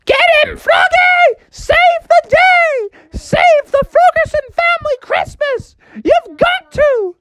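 A shrill, frantic mother frog, as a man telling a story puts her on, squealing way up high and shouting out orders in alarm. Her voice cracks with urgency, pitched far above a man's range. The recording is close and dry. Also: lively intonation, slow pace, few pauses, dry recording, full character voice. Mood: panicked. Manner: panicked shrieking.

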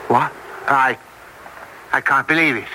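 An actor playing a shaken, incredulous young gentleman, halting and emotional, in old-time radio drama. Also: mid-range voice. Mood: disbelieving. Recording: very noisy vintage radio recording.

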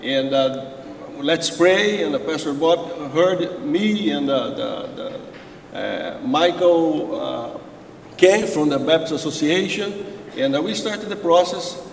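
A man's voice: a little high, expressive, slow pace, vocal fry. A man whose first language is Portuguese, speaking as a pastor with a Portuguese accent in hesitant English full of "uh" fillers. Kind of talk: halting church testimony. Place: large church sanctuary. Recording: roomy echoing church hall, faint background noise.

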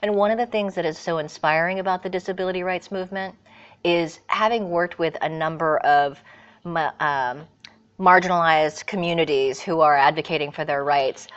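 A middle-aged American woman from California, answering interview questions earnestly and thoughtfully, pausing often with fillers. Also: fairly low pitch, fairly bright tone. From California, USA. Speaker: guest. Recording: dull lo-fi remote audio.

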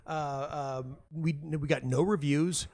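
A middle-aged man talking casually and reflectively as an interview guest, halting and restarting often, with a self-deprecating manner. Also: fairly high pitch, fairly even intonation, vocal fry, a little mellow. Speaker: playwright and audio dramatist being interviewed.

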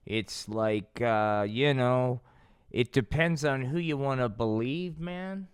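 A man's voice: mid-range voice, takes it slowly, smooth, dry recording, clean studio sound. A man hosting a podcast with loose comic storytelling, laid-back, slangy and rambling. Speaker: podcast host.

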